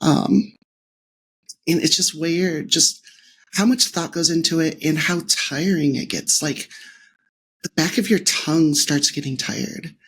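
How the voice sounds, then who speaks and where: A woman hosting a podcast. She asks questions in a relaxed, thoughtful way and uses many fillers. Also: low-pitched, unhurried, husky. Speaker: podcast host. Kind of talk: casual interview chat.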